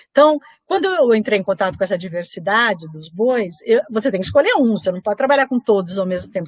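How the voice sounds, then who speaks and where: A woman, a founder of a theatre and dance group, explaining folk traditions in a live-stream conversation, unhurried and repeating phrases for emphasis. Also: medium pitch, no echo.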